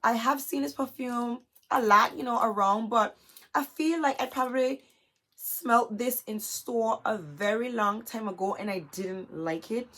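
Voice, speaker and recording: A young adult woman with a hoarse, scratchy, chesty voice, chatting casually to camera as a vlogger and filling her talk with "you guys". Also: on the high side, measured pace, a bit bright. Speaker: beauty vlogger. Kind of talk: casual haul chat to camera.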